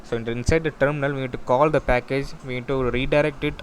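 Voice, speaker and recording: A man giving an informal software demo walkthrough, explaining in a halting, repetitive way. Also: medium pitch. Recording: clear steady background noise.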